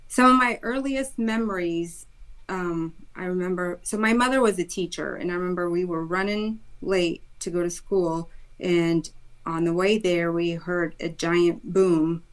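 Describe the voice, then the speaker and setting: A woman in her thirties from Iraq, a guest on an interview, telling her life story in an earnest, animated way. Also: lowish voice, rough recording. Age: 30s. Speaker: guest, school board candidate.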